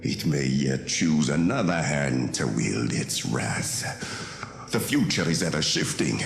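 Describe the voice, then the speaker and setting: Menacing ancient dark overlord, cunning, gravelly, swooping intonation, echoing, music behind, audio drama. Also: male voice, rumbling low, expressive, exaggerated voice. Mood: cold, imperious. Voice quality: gravelly, creaky. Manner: slow, deliberate, ominous, imperious. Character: menacing ancient reptilian dark-side master, cunning and contemptuous. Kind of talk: audio drama villain acting.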